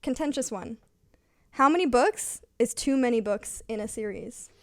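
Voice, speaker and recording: Woman, slightly high pitch, casual conversational talk, agreeable, very dry room sound. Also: moderate pace, no echo. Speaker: host. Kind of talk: casual book chat.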